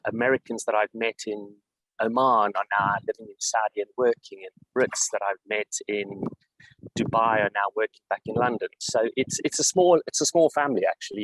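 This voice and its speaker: A middle-aged man from South Africa with a South African accent, answering interview questions in a measured way, with frequent fillers and restarts. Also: lowish voice, a bit bright, a little hiss or hum, poor audio. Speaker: guest executive.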